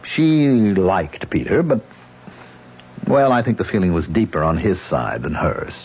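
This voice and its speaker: A man's voice: deep voice, big pitch swings, unhurried, slight background noise, exaggerated voice. An actor playing a haunted male actor who is defensive and exasperated, in a radio drama. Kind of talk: 1970s radio drama acting. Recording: lo-fi old radio broadcast.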